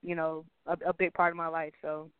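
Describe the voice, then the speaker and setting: A young woman in her twenties chats as a guest, upbeat and full of "you know"s. Her voice is smooth and clear but comes over a thin, tinny phone line. The sound is dry, with no room around it. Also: deep voice, flat delivery, brisk, no room sound. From Texas. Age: 20s. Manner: upbeat, many fillers and repeats. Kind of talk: casual interview chat.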